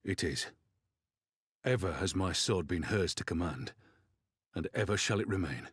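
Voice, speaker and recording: Deep, husky warrior general. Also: male voice, expressive, fairly bright tone, no echo, studio quality, full character voice. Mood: solemn, resolute. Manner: measured, formal, solemn. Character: battle-worn loyal warrior general, solemn father, resolute. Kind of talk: dramatic video game cutscene acting.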